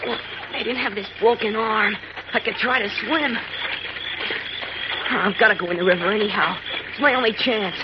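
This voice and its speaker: An actor playing a terrified, injured young boy muttering to himself in hushed, trembling 1940s radio style on an old recording. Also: medium pitch, moderate pace, pauses often, dull audio, very noisy, natural voice. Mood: frightened, resolved. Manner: hushed, trembling self-talk.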